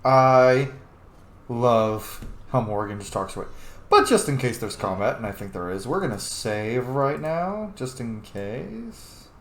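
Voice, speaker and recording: A man's voice: mid-range voice, expressive, unhurried, a bit bright. A man giving casual, sweary let's play commentary as host of a gaming channel. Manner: informal, sweary. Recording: roomy echo with faint noise.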